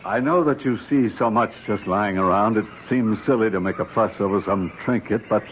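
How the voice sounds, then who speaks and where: A man's voice: on the low side, moderate pace, natural voice. A man playing an earnest older archaeologist, muffled radio. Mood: gently concerned. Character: older archaeologist uncle, earnest, principled and concerned. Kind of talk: 1970s radio drama acting. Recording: muffled, noisy old radio broadcast.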